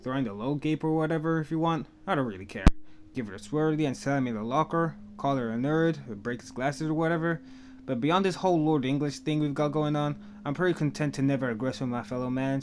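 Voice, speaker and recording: A man's voice: mid-range voice, brisk, natural voice. A man playing a cool, evasive young human guy in a comic dub, rambling wryly in casual slang. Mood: resigned, casual. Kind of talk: comic fan dub voice acting. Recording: music behind the voice.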